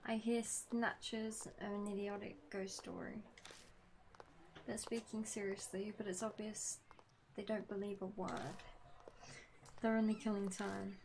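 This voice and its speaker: A woman's voice: medium pitch, a little mellow, a little hiss or hum, low-quality recording. A woman game streamer reading a visual novel aloud, breaking off for casual commentary. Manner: casual reactions between read-out lines. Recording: music behind the voice. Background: game music.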